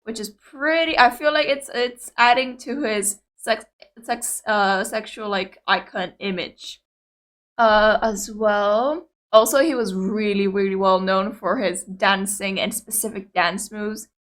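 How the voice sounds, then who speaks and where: A woman's voice: mid-range voice, measured pace, a bit bright. A young woman with a Greek Cypriot accent, whose first language is Greek, chatting casually and rambling to viewers as a reaction video host. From Cyprus. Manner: chatty, rambling, hesitant with many fillers.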